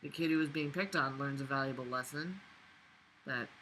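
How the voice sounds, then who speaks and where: A woman's voice: a bass voice, moderate pace, fairly bright tone, a little hiss or hum, low-quality recording. A woman reading a book aloud and breaking in with sarcastic, indignant, sweary commentary. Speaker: vlogger reading and critiquing a novel.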